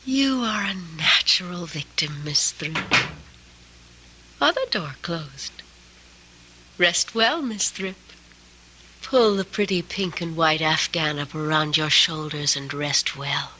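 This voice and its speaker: A woman's voice: sits low, slow, pushed and bright, breathy edge, heavily acted, low-quality recording. An actress playing a domineering young female drifter, sly and mocking, in theatrical old-time radio drama style. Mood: mock tender. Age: young adult. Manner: theatrical, commanding, taunting.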